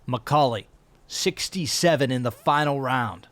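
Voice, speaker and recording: A man's voice: medium pitch, no echo. Man calling golf on television. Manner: measured, builds up excitement when announcing a winner. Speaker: play-by-play golf commentator. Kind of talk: broadcast sports commentary.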